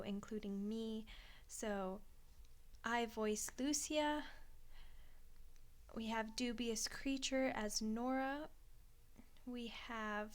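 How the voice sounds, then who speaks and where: Soft-spoken, fairly high-voiced woman giving thanks. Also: clear, resonant tone. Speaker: ASMR channel creator and voice actor. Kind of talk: informal spoken thank-you credits.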